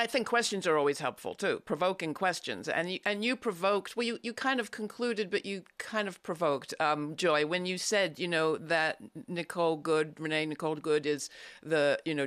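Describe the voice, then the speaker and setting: A man's voice: high-pitched, a bit bright, clear, resonant tone, no echo, studio quality. A man hosting a political panel, asking thoughtful, somewhat hesitant questions. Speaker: host.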